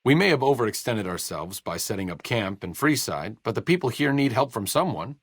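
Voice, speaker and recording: A wry American doctor from a post-apocalyptic video game. His voice sits fairly low and swings expressively as he talks. He is dry, sardonic and articulate, an idealist with a sarcastic streak, recorded close and very dry. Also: sing-song, brisk, husky, no echo, exaggerated voice. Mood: dry, explanatory. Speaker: video game companion character. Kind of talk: video game voice acting, conversational dialogue.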